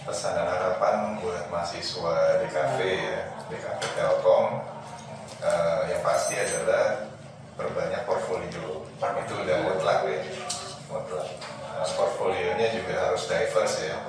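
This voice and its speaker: Casting: man, low voice, Jakarta accent, informal explainer in an interview; heavy room echo, music clearly behind. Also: steady tone, measured pace, background noise. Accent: Jakarta Indonesian. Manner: informal, explains with quoted examples and rhetorical questions. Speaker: interview guest, creative industry professional. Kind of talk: casual advice-giving interview. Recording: very echoey room, distant mic, music behind.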